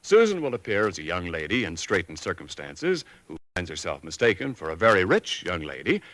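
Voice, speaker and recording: A man's voice: wildly swooping pitch, a little fast, a bit bright, a little hiss or hum, rough recording. Polished 1940s radio announcer, man. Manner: polished, smooth commercial pitch. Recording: old 1940s radio broadcast.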